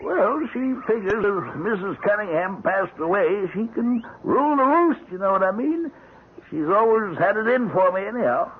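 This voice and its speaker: An actor playing an agitated, aggrieved, gossipy hired hand in an old-time radio drama, stammering, excitable and folksy. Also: male voice, high-pitched, normal speed, a little hiss or hum. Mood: indignant. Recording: tinny band-limited old radio recording.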